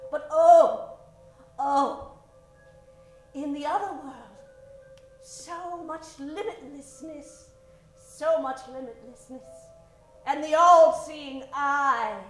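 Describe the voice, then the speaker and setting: A woman performs a sly, vengeful madwoman for an old-time radio horror show. Her high voice draws words out slowly with taunting theatricality. The sound is very echoey, with music underneath.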